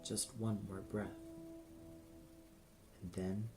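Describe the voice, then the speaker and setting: A man narrating a dramatic audio story in a grave, deliberate manner. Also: on the low side, level tone, over music.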